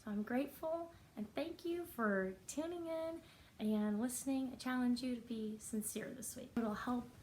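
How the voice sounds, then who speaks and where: A woman with a soft, raspy voice, talking earnestly and reflectively in a personal vlog. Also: a little high, a little hiss or hum. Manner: earnest, reflective, unhurried with pauses. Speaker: vlogger.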